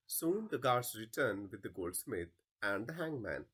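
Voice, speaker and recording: A man's voice: on the low side, big pitch swings, measured pace, a bit bright, breathy edge. A man reading a play script aloud as a teacher, narrating the stage directions.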